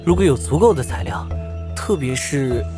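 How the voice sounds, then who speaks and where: A man playing a shrewd, ambitious young mecha-builder hero, assured and persuasive, in an animated fantasy drama. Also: medium pitch, normal speed, music in the background, lightly acted. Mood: cool, assured.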